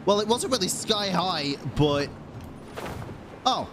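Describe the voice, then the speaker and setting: A woman's voice: on the low side, expressive, slow pace, noisy background. A woman streamer giving casual, reactive live gameplay commentary in slangy exclamations. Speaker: game streamer. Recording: game music behind.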